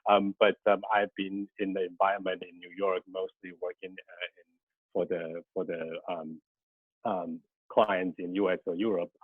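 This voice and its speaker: Guest lecturer, 30s, native Mandarin speaker, very low voice, halting delivery, tinny dry call audio. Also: male voice, level tone, husky, no room sound. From Taiwan. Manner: hesitant, many ums and restarts. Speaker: guest lecturer, graphic designer. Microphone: video call.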